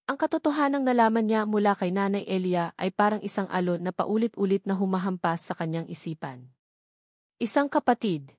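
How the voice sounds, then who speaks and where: Filipino lady narrating a dramatic love story, mid pitch, very dry close sound. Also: a little mellow, no room sound.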